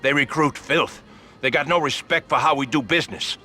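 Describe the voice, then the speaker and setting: An actor playing an embittered old-school street mobster in a video game, grumbling in colloquial, streetwise talk. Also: male voice, on the high side, brisk, sharp tone, soft background music. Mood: bitter. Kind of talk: video game crime drama acting.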